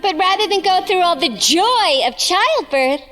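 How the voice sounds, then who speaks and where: A wicked, bubbly villainess in an audio drama. Her voice is extremely high and bouncy, cutesy and cooing, with prominent music behind it. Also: lively intonation, unhurried, a bit bright, heavily acted. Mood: playful gloating. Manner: cutesy, cooing, sing-song. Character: bubbly, wicked villainess doting as a mock mother. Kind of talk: audio drama character acting.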